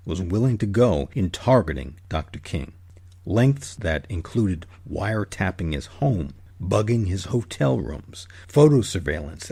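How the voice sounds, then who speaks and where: Male host-narrator, gravelly and closely dry-recorded, formal and deliberate scripted documentary read. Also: deep voice, darkish tone, no echo.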